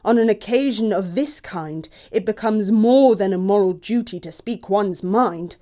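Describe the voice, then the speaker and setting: A woman's voice: mid-range voice, measured pace, mellow, natural voice. A woman acting a snobbish young English society lady in an audio play comedy, with pompous, precise, disdainful delivery. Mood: haughty, cutting. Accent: English upper-class.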